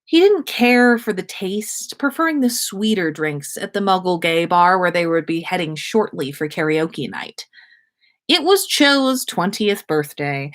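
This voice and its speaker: Slow-paced woman reading a story expressively. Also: medium pitch. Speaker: podcast host reading listener fan fiction aloud. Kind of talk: animated story reading on a livestream.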